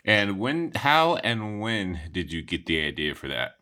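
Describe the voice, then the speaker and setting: A man with a very deep yet bright, punchy voice hosts a casual interview. He asks his questions informally and encourages his guest as they chat. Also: sing-song, measured pace, clear, resonant tone. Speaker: interviewer, podcast host.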